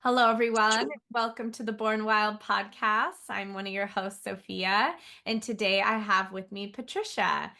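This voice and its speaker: A woman hosts a podcast interview in a chatty, informal way. Her voice sits at a medium pitch. She fills her questions with likes and you-knows. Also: fairly even intonation, normal speed, creaky, hardly any pauses, bright, forceful tone. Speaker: podcast host. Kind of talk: casual interview hosting.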